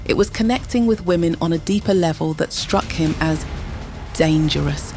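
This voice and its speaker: Female narrator for audio drama: deep register, scripted dramatic storytelling, music underneath. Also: normal speed, creaky. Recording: music bed behind the voice.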